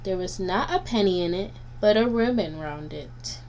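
A woman reading nursery rhymes aloud for children as a guest reader, in a deliberate, sing-song way. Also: mid-range voice, animated, darkish tone. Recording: noisy home recording with hum.

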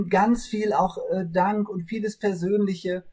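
A man's voice: high-pitched, steady tone, slow, dark tone, breathy edge, low-quality recording. A man in his forties casually moderating audience questions as co-host on a video call. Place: room with bookshelves, indoors.

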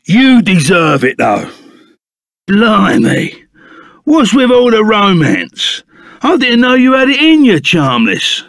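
A man voicing a big, gruff, good-hearted bandit sidekick in a video game, with a gravelly Cockney accent and a blunt, chummy way of talking. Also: high voice, wildly swooping pitch, slow, fairly bright tone, dry recording, clean studio sound, full character voice.

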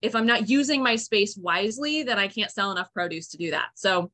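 A woman's voice: mid-range voice, expressive, a little fast, sharp tone. A young woman farmer giving a chatty, upbeat online presentation with many fillers, speaking over a video call. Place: room at home, indoors.

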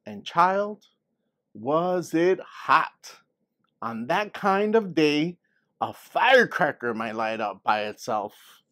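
A man's voice: a little high, sing-song, slow, fairly bright tone, exaggerated voice. A man reading a storybook as a folksy elderly Southern grandmother telling tales of her childhood. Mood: fond reminiscing. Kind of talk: storybook read-aloud.

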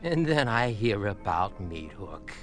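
Biker gang lieutenant for a game: slow, contemptuous, commanding, with faint music behind. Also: male voice, lowish voice, very animated. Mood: cold menace. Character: menacing, condescending male biker gang lieutenant. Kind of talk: video game cutscene acting.

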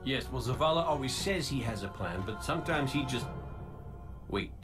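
Man playing a cocky robot gunslinger, sarcastic quips, lively swooping delivery, game cutscene. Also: medium pitch, over music, natural voice. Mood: teasing banter. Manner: quick sarcastic quips, playful banter. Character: wisecracking male robot gunslinger, cocky and joking. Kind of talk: video game cutscene acting.

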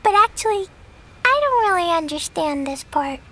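A woman's voice: very high voice, lively intonation, normal speed, pushed and bright, slight background noise, exaggerated voice. A woman voicing an earnest, childlike little girl adventurer in a video game. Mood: eager, trusting. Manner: childlike, wide-eyed, earnest. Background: game music.

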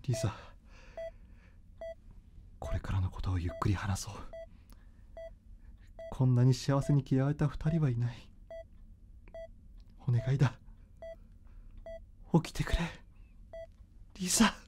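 A man's voice: medium pitch, animated, slow, pauses often, a little mellow, slight background noise. A man playing a devoted, intensely emotional, anguished young man in a Japanese radio drama. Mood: pleading, hushed.

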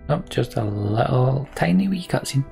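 A man's voice: medium pitch, animated, normal speed, creaky, warm tone, faint music behind. A man hosting a let's play, reading game text aloud and commenting casually with dry asides.

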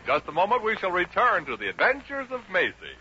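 Animated American 1950s radio announcer, male. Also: high-pitched, fairly quick. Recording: muffled 1950s radio recording with music.

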